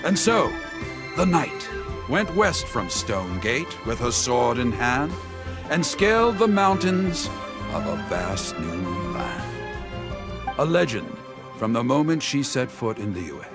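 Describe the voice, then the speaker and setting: Male game storyteller, grandiose, suspenseful, unhurried, widely swinging pitch, music behind. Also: big pitch swings. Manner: grandiose, suspenseful, with dramatic pauses. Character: dramatic male street storyteller spinning heroic tales. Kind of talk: theatrical storyteller narration. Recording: background music under voice.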